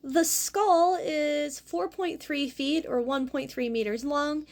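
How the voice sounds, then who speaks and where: Woman, young adult, teacher, gravelly fry, clear explanatory pace, careful with hard names. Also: high-pitched, fairly even intonation, slow. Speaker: paleontology teacher and host. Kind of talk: educational fossil presentation.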